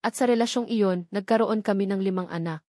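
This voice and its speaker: A woman's voice: mid-range voice, fairly quick, dark tone, no echo, close to an everyday voice. A woman reads as a determined, embittered adult son in a storybook drama. The delivery is firm and accusing, with a Filipino accent. The recording is dry and close. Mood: solemn. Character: bitter, determined adult son confronting his tycoon father.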